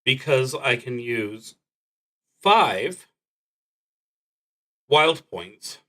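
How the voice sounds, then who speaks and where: A man streams a solo tabletop game, thinking aloud as he goes. His voice sits at a middle pitch with a bright, forceful edge. He takes his time, halting and deliberate, and drops in dry asides. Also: expressive. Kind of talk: live play narration, thinking aloud.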